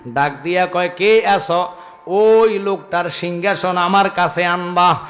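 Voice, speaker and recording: High-pitched Bangladeshi male preacher, heavy reverb. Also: fairly even intonation, soft background music. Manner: impassioned, declamatory, drawn-out chanting phrases. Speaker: Islamic preacher. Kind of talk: Bengali waz sermon, dramatic storytelling. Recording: long reverb from loudspeaker system.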